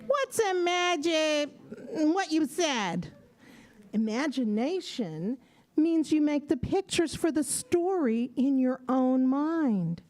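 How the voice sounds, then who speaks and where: A woman's voice: high voice, big pitch swings, takes it slowly, darkish tone. A woman co-presenting a children's audio theater show with scripted, playful and theatrical questions. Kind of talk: scripted stage patter for children. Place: live event at a public library.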